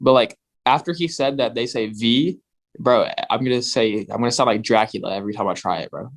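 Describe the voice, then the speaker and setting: A man's voice: mid-range voice, brisk. A man co-hosting a casual podcast, blunt and calling his friend bro. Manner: blunt, says bro a lot. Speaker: podcast co-host.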